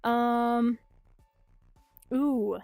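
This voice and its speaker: A young woman with a Chicago-style Midwestern accent, raised in Minnesota and South Dakota, chatting quickly and swearing freely as a livestream host late at night from her bedroom. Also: on the high side, steady tone, vocal fry, a little mellow, smooth, no echo, faint music behind. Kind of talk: casual late-night stream chat. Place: hot bedroom at home.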